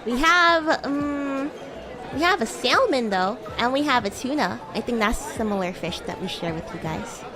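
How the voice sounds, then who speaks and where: A woman's voice: fairly high pitch, lively intonation, normal speed, a crowd in the background, lightly acted. A woman voicing a bratty young demon schoolgirl in a roleplay stream, teasing and stammering when flustered. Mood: thoughtful. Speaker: VTuber streamer playing a character. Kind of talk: improvised roleplay livestream, chatting with viewers.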